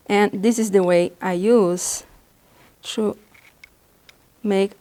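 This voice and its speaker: A woman from Brazil whose first language is Portuguese, speaking with a Brazilian Portuguese accent as she patiently demonstrates drawing supplies in a beginner art lesson, pausing often as she explains. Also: medium pitch, warm tone, rough recording. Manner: patient, halting explanations with pauses. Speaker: art instructor.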